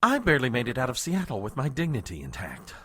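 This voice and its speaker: A man's voice: medium pitch, huge pitch swings, fast, sharp tone, slightly rough, heavily acted. An actor playing a self-important, married political aide in an audio comedy, nervously stammering and stiffly formal as he fends off trouble. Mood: weary, exasperated. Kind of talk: comedic audio drama acting.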